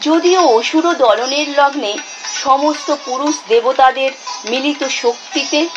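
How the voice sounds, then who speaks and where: Woman, poetry recitation, very unhurried delivery, expressive, deliberate pauses, prominent music behind. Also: fairly high pitch, low-quality recording. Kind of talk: dramatic Bengali poetry recitation. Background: instrumental music.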